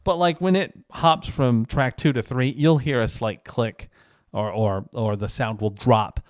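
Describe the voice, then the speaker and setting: Clear-voiced man giving a casual review. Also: mid-range voice, a little slow, no echo, clean studio sound. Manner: informal, enthusiastic, gushing. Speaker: reviewer, show host. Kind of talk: casual solo review talk.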